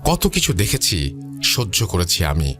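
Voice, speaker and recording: A man's voice: sits low, huge pitch swings, a little slow, music in the background, exaggerated voice. A man playing a troubled, frightened young male student in a ghost story, earnest and pleading. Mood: distressed.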